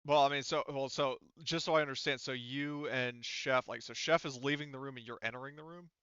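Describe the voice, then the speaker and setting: A man acting as game master, narrating a tabletop game casually and haltingly as he thinks aloud. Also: medium pitch, steady tone, a bit bright, clean tone, no echo, highs cut off.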